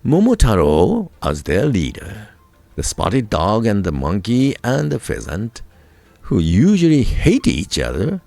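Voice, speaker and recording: Slow, expressive Japanese-accented male storyteller. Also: fairly low pitch, gravelly, dry recording. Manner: deliberate, expressive storybook delivery with dramatic emphasis. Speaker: storyteller, radio voice crew narrator. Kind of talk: scripted folk tale narration for listeners.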